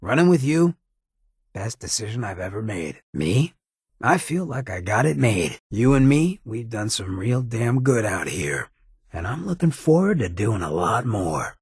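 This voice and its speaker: A roguish ghoul companion from a video game, with a heavily rasping, fairly low voice. He drawls street slang with laid-back swagger and sardonic loyalty. The sound is dry, with no room on it. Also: very animated, unhurried, no room sound, exaggerated voice. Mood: sincere, warm. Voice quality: gravelly, raspy rasp.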